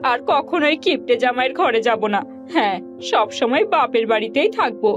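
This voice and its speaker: A woman's voice: sits high, expressive, fairly quick, dull audio, over music. A woman voicing an indignant young wife in a cartoon, scolding and complaining in a plaintive way. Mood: hurt, angry. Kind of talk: Bengali cartoon voice acting.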